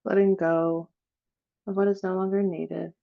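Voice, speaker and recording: A woman leading a guided meditation in a slow, soothing manner, with long pauses between phrases. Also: mid-range voice, flat delivery, vocal fry, narrow, tinny audio.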